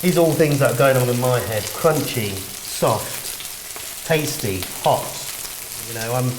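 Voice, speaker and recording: Man, cooking show co-host, playful joking manner, echoey room, loud frying behind. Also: mid-range voice, expressive, measured pace, noisy background. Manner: playful, jokey banter. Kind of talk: casual cooking demonstration. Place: kitchen. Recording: echoey kitchen with sizzling pan.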